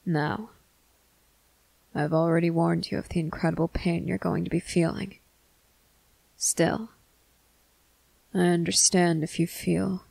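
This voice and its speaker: Slow, gravelly, stoic vampire officer acted out. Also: medium pitch, dry recording, heavily acted, poor audio. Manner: slow, deliberate, stern, commanding, hushed at times. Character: stern, stoic vampire military officer, protective man. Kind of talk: ASMR roleplay addressed to the listener.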